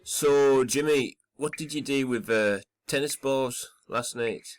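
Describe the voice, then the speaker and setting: A man's voice: medium pitch, slow pace, faint music behind, lightly acted. A man playing a sarcastic, exasperated castaway with a dry, questioning manner in an audio comedy. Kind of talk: scripted audio comedy sketch acting.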